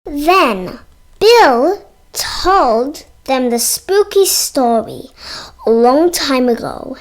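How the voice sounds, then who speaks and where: High-voiced, very slow storybook reader, female. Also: expressive. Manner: halting reading, stumbles over words and sounds them out. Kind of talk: read-aloud narration of a children's book.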